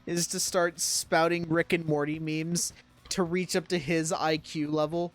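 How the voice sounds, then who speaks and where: A woman's voice: sits low, level tone, a little slow. Young woman streamer, jokey and rambling. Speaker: game streamer. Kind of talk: casual live game commentary. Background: video game music.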